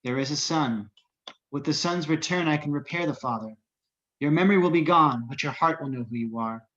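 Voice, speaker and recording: A man's voice: on the high side, brisk, a bit bright, lightly acted. Man playing a solemn psychic creature, muffled lo-fi sound. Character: solemn powerful psychic creature speaking like an oracle. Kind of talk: online scripted table reading.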